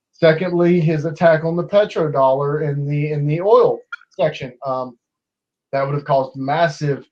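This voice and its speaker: A man's voice: a little high, a little mellow, highs cut off. A man in his twenties debating online in a casual way, with many restarts and fillers as he argues. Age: 20s.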